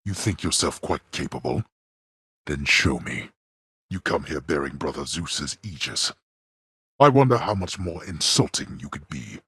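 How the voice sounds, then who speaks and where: A cold, imperious male god of the underworld with a very deep, gravelly, raspy voice. He speaks slowly and with heavy contempt, and his delivery rises and falls dramatically. It sounds like a dry, close studio game recording. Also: expressive, bright, forceful tone, no echo, heavily acted. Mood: scornful. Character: stern proud male god of the dead, a harsh disapproving father. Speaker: boss in a video game. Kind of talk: video game voice lines.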